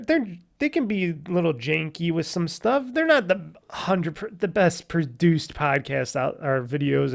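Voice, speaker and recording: A man with a raspy, nasal voice hosting a casual solo podcast on a USB microphone, rambling and correcting himself as he goes. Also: high-pitched. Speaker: podcast host.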